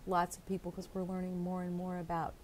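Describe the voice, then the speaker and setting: A woman's voice: lowish voice, completely flat delivery, fast talker, slight background noise. Woman hosting a community TV interview. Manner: warm, encouraging, hesitant fillers. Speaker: television interviewer, host.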